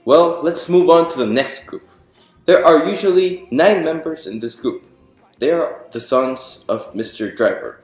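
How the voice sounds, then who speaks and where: A man's voice: fairly high pitch, lively intonation, unhurried. A man reading a picture book aloud to an audience in an explanatory, storybook narration style. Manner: explanatory, poses questions to listeners and answers them. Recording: roomy echo with music behind.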